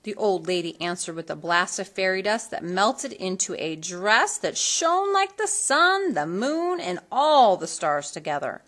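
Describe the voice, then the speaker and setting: A woman reading a children's storybook aloud in an expressive, theatrical manner that leans on colorful words. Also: medium pitch, measured pace, hardly any pauses. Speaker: storybook reader.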